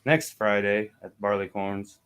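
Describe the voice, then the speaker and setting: A man's voice: fairly low pitch, unhurried, fairly bright tone, smooth. A man hosting a casual music talk show, telling stories in a laid-back way and acting out phone calls. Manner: laid-back storyteller, acts out phone calls in put-on voices. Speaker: podcast host. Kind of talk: casual chat, storytelling.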